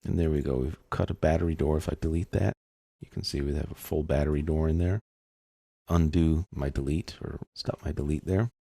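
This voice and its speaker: A man giving an informal step-by-step software tutorial, explaining casually as he thinks aloud. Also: rumbling low, steady tone, fairly quick, creaky, dry recording, studio quality.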